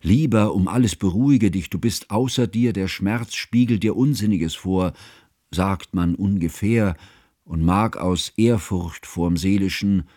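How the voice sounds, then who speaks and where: An actor playing a devoted scholar who narrates a literary radio drama in a measured, formal, solemn manner. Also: male voice, low-pitched, dark tone, dry recording, studio quality. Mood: troubled, earnest. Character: grave, devoted male scholar narrating his friend's tragedy.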